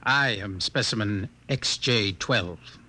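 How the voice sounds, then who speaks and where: A man's voice: on the low side, takes it slowly, pushed and bright, exaggerated voice. An actor playing a wry, bitter, educated outcast man in a 1950s radio drama, sardonic yet polite. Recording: 1950 radio broadcast recording.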